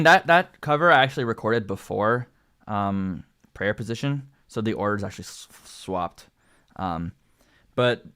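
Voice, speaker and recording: A man's voice: on the low side, fairly bright tone, clear, resonant tone. A young man with a Canadian accent, a singer chatting casually about his own vocal progress, rambling with many fillers. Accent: Canadian English. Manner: rambling and filler-heavy, lots of likes and ums. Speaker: singer and channel host. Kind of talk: casual livestream commentary.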